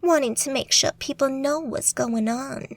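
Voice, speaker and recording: A woman's voice: sits high, normal speed, pitched above the performer's own voice. A woman putting on a coy Southern witch, Angel. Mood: coy flirtation. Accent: put-on Southern US drawl. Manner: coy, sugary, fake-sweet.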